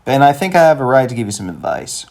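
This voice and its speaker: A man voicing a wry, plain-spoken, skeptical young man in an animated comedy-drama, with a deadpan, reasoning delivery. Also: medium pitch, fast. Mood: challenging.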